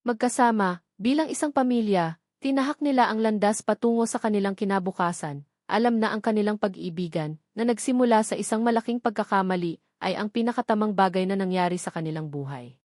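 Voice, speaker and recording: A woman's voice: medium pitch, a little fast, darkish tone, no room sound. Filipino woman storyteller, dry close sound. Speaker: audiobook storyteller. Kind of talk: scripted dramatic narration of a love story.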